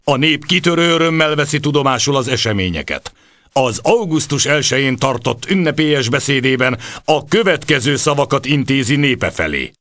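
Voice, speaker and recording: An actor playing a formal, faintly menacing military briefing narrator in a video game, measured and official. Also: male voice, medium pitch, lively intonation, a bit bright, a little hiss or hum, close to an everyday voice. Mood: solemn.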